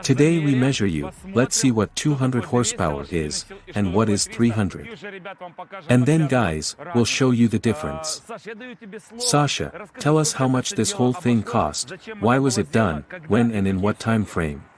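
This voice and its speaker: A man's voice: on the low side, very animated, unhurried, crowd noise. A man from Ukraine hosting a car show, walking around the cars outdoors and questioning the owner. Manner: guiding, asks questions, addresses viewers as guys. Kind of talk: car review walkaround.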